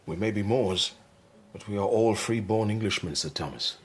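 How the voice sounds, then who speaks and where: A man playing a dignified, courteous Moorish manservant in an Elizabethan radio drama, with a husky voice. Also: on the low side, normal speed. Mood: quietly defiant. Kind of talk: period radio drama acting.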